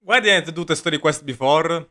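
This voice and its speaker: A man's voice: a little high, a little fast, pushed and bright. A man doing casual, rambling, jokey live commentary as he plays a game. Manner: rambling, jokey, repeats himself. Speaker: game streamer. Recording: streamer voice over game music. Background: game music.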